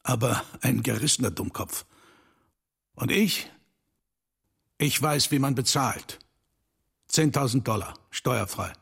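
A man playing an enigmatic, commanding older rich man in a dubbed film drama, speaking deliberately and theatrically with dramatic pauses and hushed drops. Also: medium pitch, exaggerated voice. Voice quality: gravelly, husky.

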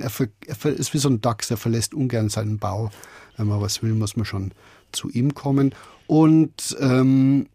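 A man's voice: mid-range voice, a little mellow, breathy edge, no room sound. A man speaking as a radio drama director in an interview, conversational and reflective, often hesitating as he searches for words.

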